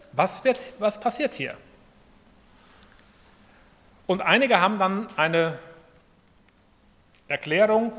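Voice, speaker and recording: A man preaching a sermon in in a conversational way, asking his listeners rhetorical questions. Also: fairly high pitch. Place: church hall. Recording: long reverb of a hall.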